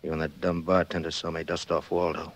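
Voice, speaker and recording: A menacing small-time American hoodlum in a 1940s radio crime drama. His voice sits low, and he needles his victim in cold gangster slang. The vintage recording is dry. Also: little pitch movement, brisk, no echo. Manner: taunting, slangy tough-guy talk.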